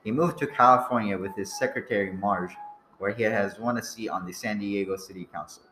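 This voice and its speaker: A man announces a radio play from a script. His voice sits fairly low, and the recording is roomy with a faint layer of noise. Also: brisk. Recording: echoey room, faint noise.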